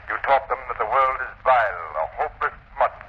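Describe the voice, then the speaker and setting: An actor playing a stern, dignified university president, formal and righteous, in 1930s radio drama style. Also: male voice, medium pitch, a little fast, husky, natural voice. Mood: stern accusation. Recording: muffled, faintly noisy 1930s radio recording.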